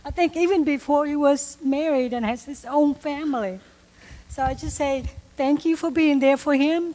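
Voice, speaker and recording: A middle-aged woman speaking earnestly and haltingly at church, giving thanks to the congregation. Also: on the high side, a little mellow. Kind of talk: heartfelt church remarks. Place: church sanctuary. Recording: long hall reverb.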